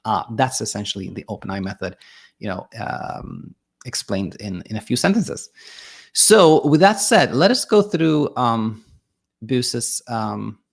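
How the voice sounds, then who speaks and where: A man whose first language is Swedish talks through ideas as a sleep coach, with a gravelly edge to his voice. He stops, restarts and fills gaps with "you know" and "like" while he thinks aloud. His pitch sits in the ordinary middle for a man. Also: big pitch swings, measured pace. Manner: hesitant, many restarts and fillers; reads viewer comments aloud. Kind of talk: explanatory monologue.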